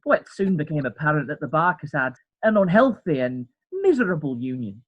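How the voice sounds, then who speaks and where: A practical farmer husband voiced by a woman gives evidence in an old-time courtroom audio drama. The husky voice rises and falls widely, and the recording sounds dull and lo-fi. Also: deep voice, sing-song, a little fast. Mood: earnest. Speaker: defendant.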